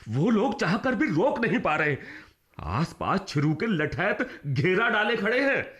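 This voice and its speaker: A man's voice: high-pitched, sing-song, a little slow, fairly bright tone, heavily acted. An actor playing an anxious, loyal village man in a Hindi radio drama, speaking urgently and emphatically. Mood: alarmed.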